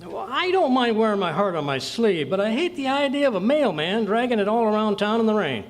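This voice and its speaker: A man's voice: high voice, big pitch swings, a little fast. A man playing a bumbling, boastful husband in old-time radio comedy style, hemming, hawing and stammering as he talks. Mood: reluctant. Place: community clubhouse stage performance.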